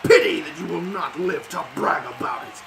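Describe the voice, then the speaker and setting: Man putting on a booming monstrous warrior villain. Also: moderate pace, gravelly, a crowd in the background. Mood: furious, menacing. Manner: booming, theatrical shouting. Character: sneering monstrous male champion warrior, menacing servant of evil. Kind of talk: amateur fantasy reader's theater.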